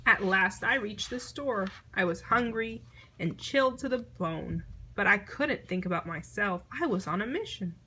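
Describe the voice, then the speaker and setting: A woman reading a children's picture book aloud in an expressive storytelling manner. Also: medium pitch, normal speed, a little hiss or hum, poor audio. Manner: expressive storytelling, acting out short exclamations.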